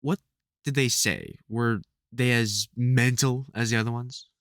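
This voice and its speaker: A man with a British accent playing a caring veteran vampire hunter in an audio roleplay, halting and sweary, exasperated but gentle. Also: medium pitch, fairly even intonation, no echo, clean studio sound. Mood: confused, probing. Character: gruff, caring veteran male vampire hunter, protective and worried. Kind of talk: audio roleplay, speaking to an unheard listener.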